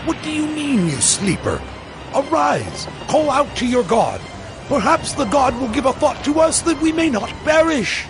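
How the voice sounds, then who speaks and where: Alarmed sailor, very high animated voice. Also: male voice, a little slow, over music, exaggerated voice. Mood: alarmed, scolding. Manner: urgent, demanding, exclamatory. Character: alarmed, demanding ship captain or sailor. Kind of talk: dramatized audio Bible acting.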